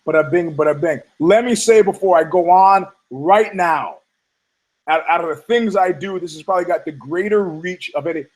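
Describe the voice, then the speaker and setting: A man with a hoarse, husky voice that sits a little high for a man. He talks off the cuff as a podcast host, rambling, swearing and restarting his sentences as he goes. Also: normal speed, a little mellow. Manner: rambling, profane, frequent restarts. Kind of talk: casual rambling monologue.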